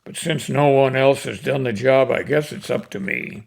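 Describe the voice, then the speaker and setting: A man voicing an ornery, disgusted old cowboy in a recited comic poem, with a gruff, folksy manner. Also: medium pitch, moderate pace. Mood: bitter resolve.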